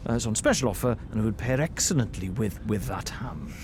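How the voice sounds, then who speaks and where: Hesitant male sidekick detective , played by an actor, stammering and earnest, very lively intonation, comic audio drama, faint rumble. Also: a little fast, close to an everyday voice. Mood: flustered, eager. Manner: stammering, flustered.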